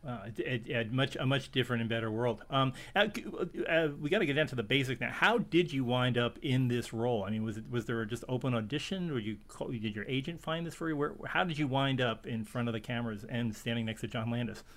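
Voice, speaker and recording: Male interviewer, halting and filler-heavy, casual and chatty. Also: medium pitch, fairly bright tone. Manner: halting, many fillers and restarts, laughs often.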